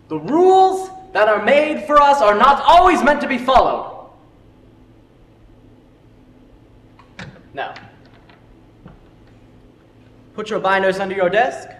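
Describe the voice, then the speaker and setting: High, animated man acting an earnest history teacher. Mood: lecturing. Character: earnest, opinionated male history teacher defending his methods. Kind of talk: amateur stage drama acting. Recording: echoey hall, faint noise.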